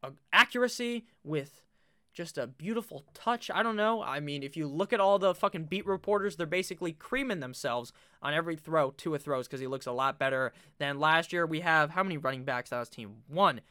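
Young male video host, high bright voice with a clear smooth tone and a slight nasal edge, loose and profane. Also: brisk. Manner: swears freely, chatty and animated. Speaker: fantasy football YouTuber. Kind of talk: casual webcam talk while drafting. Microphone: webcam setup.

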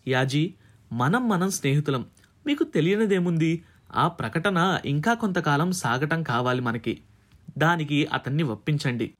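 A man's voice: a little high, animated, measured pace, fairly bright tone. A man voicing a calculating managing director in an audiobook, persuasive, ingratiating and businesslike. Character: smooth-talking, calculating male magazine managing director.

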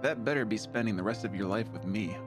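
A man's voice: on the low side, fast, over music, lightly acted. A man playing a charming, playfully arrogant combat doctor in audio drama dialogue, affectionate beneath the swagger. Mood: surprised, fond.

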